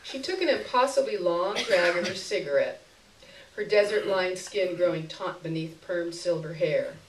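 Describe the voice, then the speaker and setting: A woman giving an expressive live reading of a first-person short story, in a literary narration style. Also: lowish voice, normal speed, background noise. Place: indoor stage before an audience. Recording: roomy live stage recording, distant mic.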